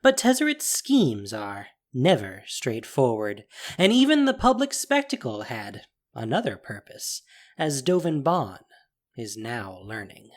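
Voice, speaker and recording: A man's voice: mid-range voice, sing-song, unhurried. A man narrating a scripted audio drama story with theatrical phrasing and emphatic stresses. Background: music.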